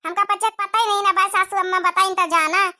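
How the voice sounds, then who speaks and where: A young village daughter-in-law in a comedy cartoon, acted by a woman. She is chatty and placating, with an Awadhi accent. Her voice is squeaky, extremely high and bright. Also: steady tone, a little fast, exaggerated voice. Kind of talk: exaggerated cartoon comedy dialogue.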